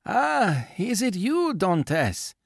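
A man voicing an eager, anxious middle-aged ship owner in a storybook reading, raising his voice high and questioning. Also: very animated, slow pace, vocal fry, slightly rough, no echo, clean studio sound, exaggerated voice.

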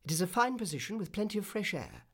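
A storyteller voicing a small, vain, brisk male swallow from a storybook, exclaiming bluntly and quick to complain. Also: mid-range voice, sing-song, breathy edge, voice pushed up. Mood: pleased. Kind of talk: storybook character voice.

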